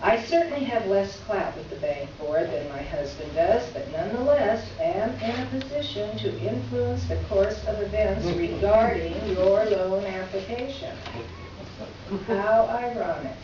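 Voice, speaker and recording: A woman's voice: mid-range voice, close to an everyday voice. Woman acting a drily pointed columnist, very echoey room. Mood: dry, pointed. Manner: formal, measured, drily ironic. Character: polite but pointed woman newspaper columnist writing a complaint letter. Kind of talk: staged readers theater, reading a letter aloud. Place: live reading in a reverberant room. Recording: echoey room from far off, dull, noisy.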